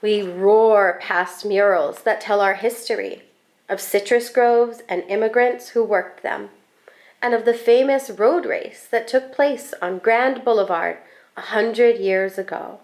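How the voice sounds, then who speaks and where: A woman reading a children's picture book aloud in an expressive, deliberate storytelling manner. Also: mid-range voice. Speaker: school staff storybook reader.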